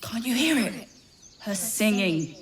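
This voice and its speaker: Troubled game squirrel: slow, plaintive, complaining, middling pitch. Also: female voice, expressive. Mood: distressed. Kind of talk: video game creature dialogue.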